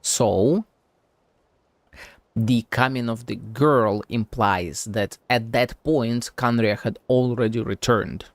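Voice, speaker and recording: A man's voice: mid-range voice, takes it slowly, no room sound. A man streaming a game with casual live commentary, musing and rambling as he goes, swearing casually. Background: game music.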